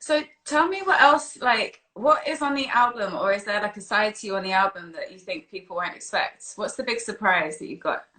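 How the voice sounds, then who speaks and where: A woman's voice: medium pitch, lively intonation, a little fast, hardly any pauses, sharp tone, reverberant, low-quality recording. A woman hosting a casual live-stream interview, asking chatty, informal questions. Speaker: interviewer, host. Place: live-streamed video call.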